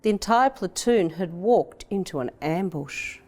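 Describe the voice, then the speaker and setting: A woman with an Australian accent reads a children's picture book aloud in a measured, expressive storytelling way. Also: fairly low pitch. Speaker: education officer reading a picture book.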